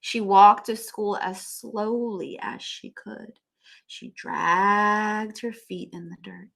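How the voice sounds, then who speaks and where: A woman teacher reading a storybook aloud to young children, with expressive emphasis and chatty asides to them. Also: mid-range voice, slow pace, creaky.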